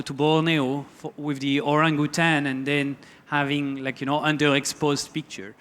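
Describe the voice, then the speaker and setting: A man's voice: on the high side, smooth. French-accented man giving a talk. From France. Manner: relaxed, loose, with many fillers and restarts. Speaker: photography lecturer. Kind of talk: conference talk.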